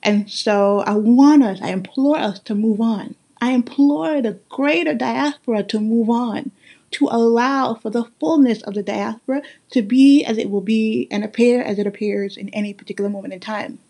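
A woman in her thirties from Guyana with a Guyanese accent, hosting a solo podcast in an earnest, emphatic way, slowing down to stress her points. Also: fairly high pitch, husky. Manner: earnest and emphatic, with slow deliberate stresses and warm laughs. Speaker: podcast host. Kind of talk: casual solo podcast monologue.

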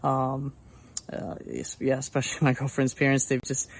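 A young man from Colombia sharing a casual video testimony, soft-spoken and hesitant with many fillers. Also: medium pitch, completely flat delivery, normal speed, creaky, breathy edge. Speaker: church member giving video testimony.

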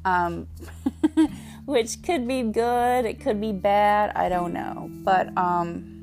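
A young woman with a Southern US accent, chatting candidly and rambling on her solo podcast, full of fillers and pauses. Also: medium pitch, darkish tone, faint music behind. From Southern United States. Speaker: podcast host.

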